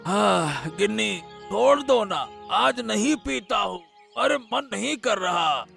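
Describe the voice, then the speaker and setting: A man playing an ailing old husband in a cartoon, complaining and reluctant in a strained, creaky voice. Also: high voice, animated, slow, pushed and bright, faint music behind, heavily acted. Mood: grumbling, pleading. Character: ailing old husband, whiny, grumbling about bitter medicine. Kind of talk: Hindi cartoon dialogue.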